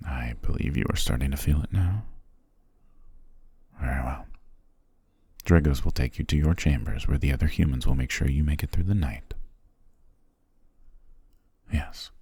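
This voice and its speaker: A man playing an ancient, imperious and possessive vampire patriarch in a fantasy ASMR roleplay, speaking in a formal, commanding, measured way. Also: mellow, no echo, exaggerated voice. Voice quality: very deep, gravelly, breathy.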